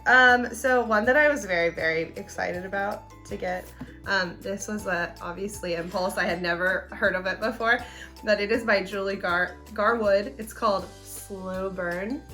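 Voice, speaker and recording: A woman's voice: mid-range voice, normal speed, bright, forceful tone, faint music behind. A young American woman co-hosting a book show in casual, enthusiastic chat, with a playful, giddy and slangy manner.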